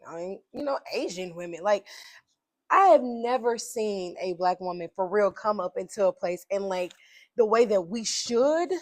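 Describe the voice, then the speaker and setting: Animated woman with a dry, close sound. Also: mid-range voice, moderate pace, no echo. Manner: animated, affirming, laughs often. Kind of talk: conversational podcast talk.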